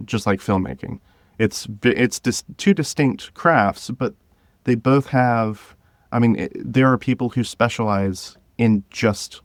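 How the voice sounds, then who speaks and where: A man talks as a guest in a podcast interview. His voice is a little low, and the sound is dry with no room echo. He explains things in a casual, conversational style. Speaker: podcast guest, scriptwriter of an audio drama.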